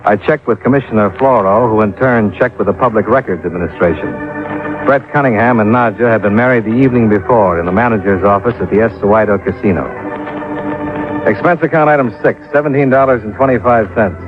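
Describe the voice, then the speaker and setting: Man as hardboiled American detective, wry, clipped, low-pitched, thin old radio sound. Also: a little fast, lightly acted. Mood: matter-of-fact. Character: wry, hardboiled American insurance investigator narrating his case. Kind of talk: old-time radio detective narration and dialogue. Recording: tinny old radio recording with music.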